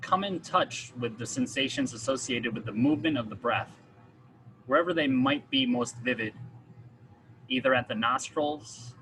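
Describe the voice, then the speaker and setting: A man guides a meditation as a coach, speaking in measured phrases broken by pauses. His mid-range voice moves up and down with a lot of melodic variation. There is faint but steady noise in the recording. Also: medium pitch, poor audio.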